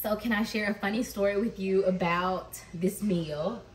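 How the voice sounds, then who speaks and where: A woman's voice: medium pitch, moderate pace. A woman in her thirties tells a story to her viewers while she cooks in a home kitchen, laughing often as she goes. She stands some way from the camera, so her voice carries the echo of the room, with a faint hiss underneath. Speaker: mom vlogger. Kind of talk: cook-with-me vlog chat. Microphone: camera across the kitchen. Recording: echoey kitchen, camera mic at a distance.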